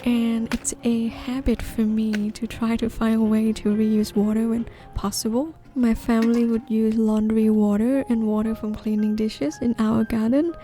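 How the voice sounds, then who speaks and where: A woman from northeastern Thailand, gently narrating a gardening video in a measured, explanatory way. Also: on the high side, little pitch movement, faint music behind. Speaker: gardening vlogger. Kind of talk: calm scripted voice-over narration.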